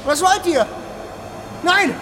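A woman's voice: very high voice, takes it slowly. A woman playing a panicked person screaming during an attack in a radio drama. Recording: noisy scene with long reverb.

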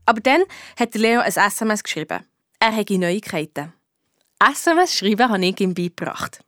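A plucky young schoolgirl sleuth played by a woman tells her story in a children's radio detective play. She has a Swiss accent and a middling-to-high voice, and thinks aloud in an expressive, colloquial way, curious and cheeky. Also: moderate pace, natural voice. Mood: confiding, annoyed. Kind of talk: children's radio detective play, first-person narration and dialogue.

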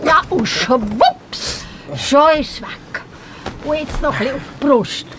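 A woman's voice: sits high, expressive, a little slow, a crowd in the background. An actress playing a mothering, slightly eerie old mountain woman with Swiss German speech in a radio play, coaxing and fussing. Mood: coaxing, motherly. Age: older. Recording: loud music behind the voice. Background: music.

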